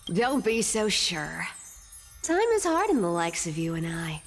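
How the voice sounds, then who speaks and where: A woman voicing a sly, teasing young trickster thief in a video game, with drawn-out, sing-song phrasing. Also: fairly low pitch, very animated, heavily acted. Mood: wry, probing. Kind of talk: video game story voice acting. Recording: loud music behind the voice.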